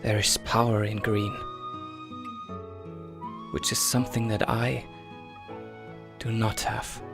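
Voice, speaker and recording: A man's voice: lowish voice, level tone, takes it slowly, creaky, music in the background. A man voicing a timid, self-doubting boy trainer in a manga dub, hesitant and introspective. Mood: reflective. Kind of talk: manga dub narration and dialogue.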